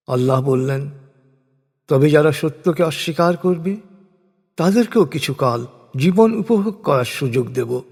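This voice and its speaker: A man with a fairly high voice reads sacred text very slowly and solemnly. Each phrase is deliberate and measured, carried on a long reverb. The delivery is a scripted religious recitation.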